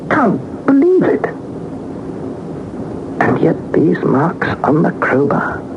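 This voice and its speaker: A noisy, dull 1960s radio broadcast carries a young British gentleman adventurer. He narrates his journal in formal, deliberate phrases. The delivery is theatrical and very animated, full of anxious awe. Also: fairly high pitch. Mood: fearful.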